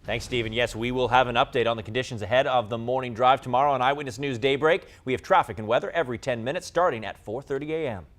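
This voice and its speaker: A man's voice: mid-range voice, quick pace, hardly any pauses, fairly bright tone. A man with an American accent reading scripted television news as an anchor.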